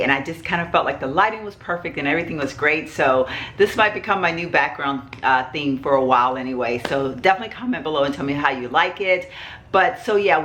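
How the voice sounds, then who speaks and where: A woman's voice: low voice, fairly bright tone, a little hiss or hum. A middle-aged woman, sick with a cold, chatting casually and rambling to her viewers as a vlog host. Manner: rambling, chatty, many fillers. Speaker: vlogger host. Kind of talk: casual chat to camera.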